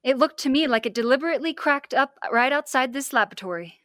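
A woman's voice: high-pitched, expressive, fast talker, fairly bright tone, no echo, clean studio sound. A young woman playing an alert, caring space lieutenant in a classic radio drama. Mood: concerned.

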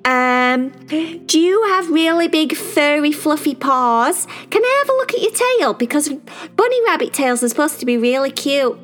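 A woman voicing an excitable, chatty young girl who gushes and fires off question after question. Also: high-pitched, faint music behind, voice pushed up. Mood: gushing. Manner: gushing, rapid-fire questions, squealing.

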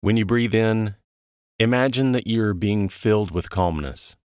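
A man with a low voice leads a guided relaxation. He speaks very slowly and calmly, with soothing, measured instructions and long pauses. The sound is dry, with no room around the voice. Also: clean tone, dry recording. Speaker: guided meditation narrator. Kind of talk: slow guided relaxation narration.

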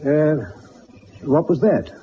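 High-pitched, obliging sidekick. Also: male voice, slow, muffled recording, a little hiss or hum. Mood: eager, puzzled. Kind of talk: dramatised detective radio play.

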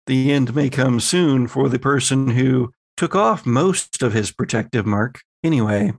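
Male game master talking players through a horror game, slower pace, dry sound. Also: medium pitch, gravelly, no echo. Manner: conversational, improvising scene descriptions. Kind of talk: narrating a horror tabletop roleplaying game.

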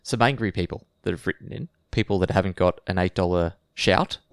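Gravelly low-voiced man chatting. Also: fairly quick, dry recording, clean studio sound. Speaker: podcast co-host. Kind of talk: casual podcast chat.

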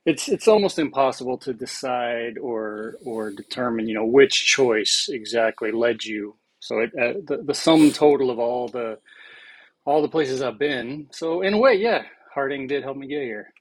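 A man's voice: medium pitch, animated, moderate pace, dry recording, poor audio. A man in his forties who grew up in South Africa, talking casually as a podcast guest, reflective and laughing often. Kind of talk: casual conversation.

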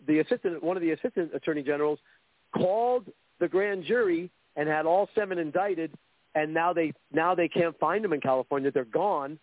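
Man phoning in to a radio show: rambling, repetitive casual talk in a somewhat high voice, dry and tinny over the line. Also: no echo. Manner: rambling, repeats words while talking. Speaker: caller. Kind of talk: casual phone-in chat.

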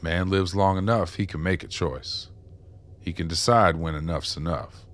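An actor playing a weary, guilt-ridden older ex-informant in a radio drama, gruff and plainspoken. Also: male voice, deep voice, normal speed, fairly bright tone. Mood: resigned.

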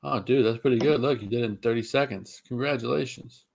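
A man's voice: mid-range voice, fast talker, creaky, darkish tone. A man hosting a sports podcast, joking around as he runs the segments, sniffling. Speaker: podcast host. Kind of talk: casual sports chat.

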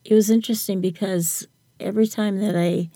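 An older woman talking reflectively as an interview guest, with halting, hesitant phrasing. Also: medium pitch, steady tone, dark tone, no room sound, studio quality. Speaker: podcast guest, writer.

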